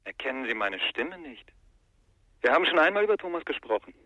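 Cold fanatical caller, hard forceful tone, insistent and taunting, dry radio-play sound. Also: male voice, mid-range voice, sing-song, brisk, dry recording. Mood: cold menace. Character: menacing extremist man making threatening phone calls. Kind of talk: German radio crime drama acting.

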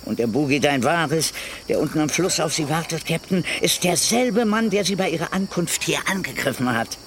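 A man playing a sly, resentful informer in a German radio drama, insinuating and bitter, with a creaky voice. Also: a little high, lively intonation, moderate pace, background noise, natural voice. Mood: spiteful. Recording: music behind the voice.

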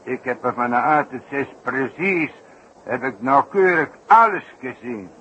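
Bright-voiced, boastful circus clown, old radio. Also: male voice, medium pitch, animated, a little slow. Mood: solemnly boastful. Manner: wordy, self-important, repeats himself. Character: excitable, boastful old-fashioned circus clown with foreign flourishes. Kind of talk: 1960s radio play acting. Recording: dull, noisy 1960s radio recording.

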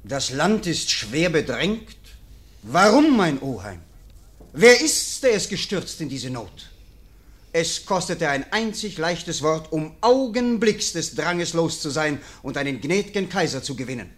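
Young nobleman, ambitious, earnest, declamatory, fairly high voice. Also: very animated, measured pace, fairly bright tone. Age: young adult. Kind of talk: classic radio play acting.

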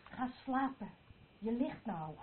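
A woman's voice: on the high side, expressive, takes it slowly. A woman playing a weary, scolding daughter in a radio play, blunt and exasperated. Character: Selma, weary, scolding daughter looking after a drunkard. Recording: tinny, noisy old radio recording.

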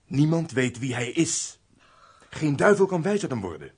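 A male actor playing a fussy, nosy, self-important castle steward in a Dutch radio play, with a husky, blustering, obsequious delivery. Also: a little high, sing-song, normal speed, slight background noise. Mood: nosy, exasperated. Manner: flustered, obsequious about nobility, blustering at underlings.

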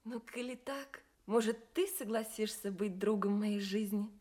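An actress playing a lonely, wistful young maiden in an audio fairy-tale play. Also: female voice, mid-range voice, measured pace, a little mellow, close to an everyday voice. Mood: hopeful, coy.

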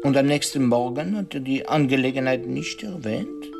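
An actor playing a shrewd, courteous detective in a radio play, speaking formally and deliberately with French phrases and musing hesitations. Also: male voice, medium pitch, lively intonation, creaky, music in the background. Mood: methodical questioning. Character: shrewd, courteous male detective, pensive and probing.

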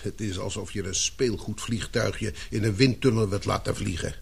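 A man playing a gruff, weary military commander with a dry, sardonic, clipped manner in a radio play. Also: fairly low pitch, fairly even intonation, moderate pace, exaggerated voice. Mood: weary, blunt.

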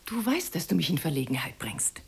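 Genteel wife and mother, radio drama; slightly low, very varied melody, soothing yet easily exasperated. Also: big pitch swings, fairly quick, creaky, breathy edge, exaggerated voice. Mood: tense, curt. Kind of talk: German radio drama acting.

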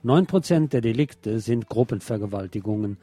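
A man's voice: lowish voice, expressive, normal speed, mellow. A man presenting scripted local news in German, with a wry, opinionated streak. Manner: sardonic, opinionated asides.

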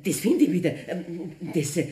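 A woman's voice: fairly low pitch, big pitch swings, moderate pace, dark tone. A woman playing a chatty, bustling, superstitious neighbour in a radio play, with a Bavarian accent and a rambling, emphatic way of talking. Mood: flustered searching. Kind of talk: German radio play acting.

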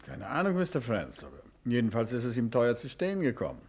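An actor in a 1950s German radio play as a courteous English gentleman detective, calmly asking polite, measured questions. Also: mid-range voice, slightly rough, full character voice.

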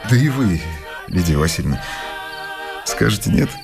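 A wistful schoolteacher in a radio play. His voice is low and moves with very lively intonation. He is hesitant and ironic, quietly mocking himself, while music plays behind him. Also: moderate pace, creaky, full character voice. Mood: wistful, probing. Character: wistful, self-mocking city schoolteacher saying farewell before leaving. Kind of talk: Russian radio play acting.

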